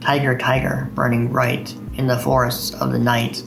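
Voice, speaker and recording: A man hosts a poetry reading video in a mid-pitched voice. There is a noticeable creak and fry to his speech. Faint music plays behind him. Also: flat delivery, normal speed, low-quality recording. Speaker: video host reading poems.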